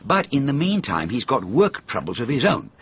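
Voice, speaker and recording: An actor playing an anxious, hesitant, middle-aged sitcom writer in a radio comedy drama, defensive and prone to stammering. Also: male voice, mid-range voice, wildly swooping pitch, moderate pace, breathy edge, highs cut off, exaggerated voice. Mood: flustered. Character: anxious, flustered ageing male sitcom writer.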